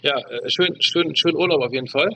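A male podcast co-host chatting casually, haltingly repeating words as he searches for the next one. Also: on the high side, bright, forceful tone, slight background noise, low-quality recording. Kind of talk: casual chat.

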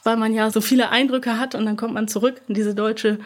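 Interview guest: young woman, relaxed and chatty, full of fillers and quick laughs. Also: a little high. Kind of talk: casual conversational interview.

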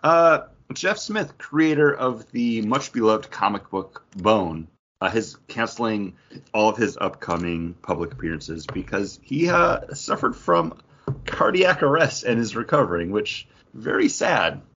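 A man's voice: on the low side, animated, measured pace, a bit bright, breathy edge, dull audio. A man hosting a casual geek-news discussion, speaking in an opinionated, conversational way. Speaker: podcast host.

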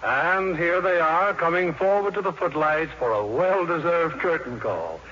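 A man's voice: on the high side, lively intonation, a little slow, pushed and bright, husky. A man hosting a 1950s radio show with scripted banter. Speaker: host. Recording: dull vintage 1950s radio recording.

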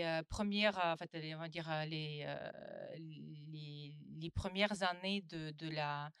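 A woman's voice: medium pitch, fairly bright tone, smooth, no echo, studio quality. A woman from Ukraine, Russian her first language, speaking at a round-table discussion, hesitant with many fillers and restarts. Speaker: panelist. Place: conference room.

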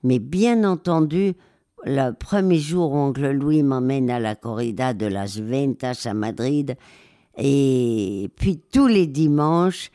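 Slow, hesitant older woman. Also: extremely low pitch, lively intonation, warm tone. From raised in Spain. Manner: slow, hesitant, many pauses and fillers. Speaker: interview guest, war photographer. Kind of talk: reflective interview answers.

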